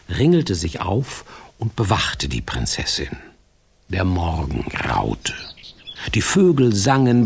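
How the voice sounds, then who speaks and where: An actor playing a wry, playful storyteller who narrates a radio fairy tale with little asides. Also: male voice, fairly low pitch, background noise, full character voice. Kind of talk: scripted radio drama narration.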